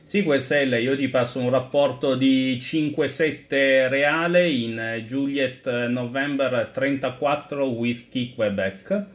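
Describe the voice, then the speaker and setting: A man's voice: mid-range voice, slow, few pauses. A man chatting over amateur radio in a courteous, methodical way with hesitant phrasing. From Italy. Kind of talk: amateur radio contact, conversational. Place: indoor home radio station.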